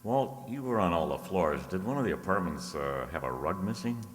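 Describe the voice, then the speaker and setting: An actor playing a cool, shrewd, wry private detective in a radio play. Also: male voice, deep voice, very animated, moderate pace, echoey room, long reverb tail. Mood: shrewd, deducing.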